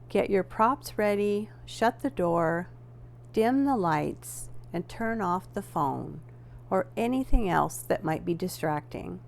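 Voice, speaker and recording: Very slow, low-voiced woman guiding relaxation. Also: gravelly, a little hiss or hum. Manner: measured, gently instructing with deliberate pauses. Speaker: yoga nidra instructor. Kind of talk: guided relaxation meditation.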